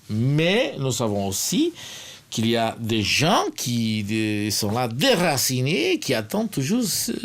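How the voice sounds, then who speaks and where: Portuguese-accented guy, middle-aged, gravelly voice, earnest interview talk, lots of hesitating. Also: medium pitch. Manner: earnest, tag questions, frequent hesitations. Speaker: sociologist interviewee. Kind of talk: documentary interview.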